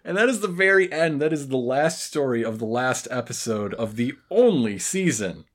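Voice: mid-range voice, animated, normal speed. A podcast co-host chatting casually and humorously, with a wry, joking manner. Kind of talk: casual humorous chat recapping an old cartoon.

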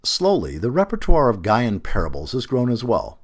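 A man reading a written essay aloud as scripted narration, in an emphatic, expressive manner. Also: mid-range voice, moderate pace. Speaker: audio narrator reading a feature article.

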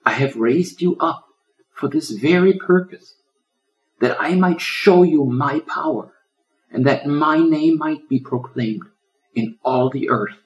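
A man voicing a gentle old lion in a storybook, solemn and loving like a shepherd, with a preaching delivery. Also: fairly high pitch, slow, pitched above the performer's own voice, poor audio.

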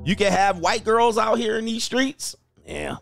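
A man's voice: high-pitched, wildly swooping pitch, a little slow, creaky, fairly bright tone, soft background music. A man hosting a casual livestream as a dating coach, reading viewer comments aloud in a joking, rambling way and laughing often. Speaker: dating coach and show host.

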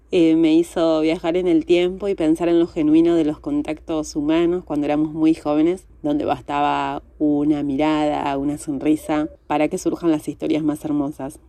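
A woman's voice: deep voice, mellow, dry recording. A woman with a Buenos Aires Spanish accent leaving a reflective fan message. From Buenos Aires, Argentina.

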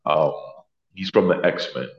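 Man, deep baritone, earnest guest, roomy slightly muffled recording. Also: slow. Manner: earnest, thoughtful, self-correcting. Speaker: actor, interview guest. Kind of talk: casual interview chat. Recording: roomy, slightly dull sound.

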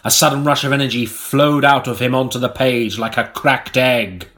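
A man narrates a gothic tale in a mock-serious audiobook style. His delivery is deliberate and melodramatic, and his voice sits at an average male pitch. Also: fairly even intonation, fairly bright tone. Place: recording studio.

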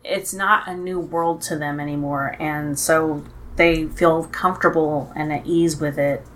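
Slow-spoken middle-aged mother. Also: deep voice, fairly even intonation, a bit bright, a little hiss or hum. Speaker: podcast guest, host's mother. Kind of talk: casual podcast chat.

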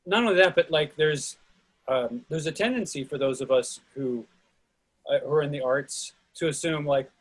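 A man with a slightly high, husky voice joins an arts discussion. He talks casually but emphatically, restarting phrases as he builds his point. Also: normal speed, rough recording.

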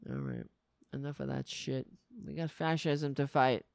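A woman's voice: a bass voice, sing-song, moderate pace, vocal fry, darkish tone. A woman from Staten Island, telling a rambling, profane comic story in a casual monologue and laughing at her own jokes. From Staten Island, New York.

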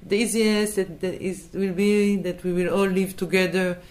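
Woman with a hesitant, halting delivery. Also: medium pitch, level tone, darkish tone, a little hiss or hum. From Israel. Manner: hesitant, searching for words, many restarts. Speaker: interview guest. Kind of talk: thoughtful conversational interview.

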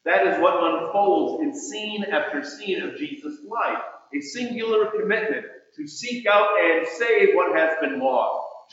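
A man delivering a sermon in measured, emphatic phrases with deliberate pauses, reading out scripture and quotations as he goes. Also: a little high, a bit bright. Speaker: preacher. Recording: muffled, very echoey hall with long reverb.

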